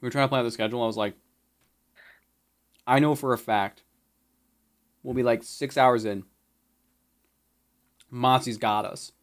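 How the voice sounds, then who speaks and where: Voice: mid-range voice, steady tone, moderate pace, long pauses. A co-host chatting casually on a livestream, joking and repeating phrases. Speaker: podcast co-host. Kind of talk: casual stream chat.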